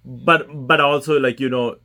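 A man's voice: medium pitch, normal speed. Easygoing Sri Lankan man as guest. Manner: relaxed, many fillers and restarts. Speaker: interview guest. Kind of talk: casual interview chat.

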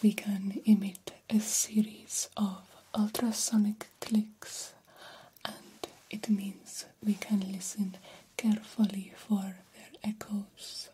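A woman playing a soothing, formal female vampire doctor in a breathy ASMR whisper, speaking with deliberate, old-fashioned phrasing. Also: medium pitch, little pitch movement, dark tone, a little hiss or hum, lightly acted, low-quality recording. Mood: proud, explaining. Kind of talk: ASMR roleplay.

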